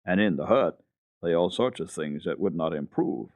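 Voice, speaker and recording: A man's voice: sits low, lively intonation, moderate pace, darkish tone, no echo. A man narrating classic children's literature as a dramatic radio storyteller.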